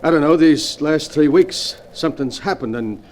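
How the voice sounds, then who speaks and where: A stammering, panicky gangster , played by a man in a 1940s radio drama. The voice sits a touch high. A faint hiss runs underneath. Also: normal speed, darkish tone, lightly acted. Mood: desperate. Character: desperate tormented male gangster.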